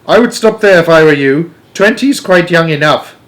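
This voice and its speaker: A man voicing an excitable old grandfather in a storybook, flustered and exclaiming loudly. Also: fairly high pitch, normal speed, voice pushed up, natural voice, low-quality recording. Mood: excited. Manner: shouting, agitated, exclamatory. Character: excitable old grandfather, flustered and alarmed about his wife.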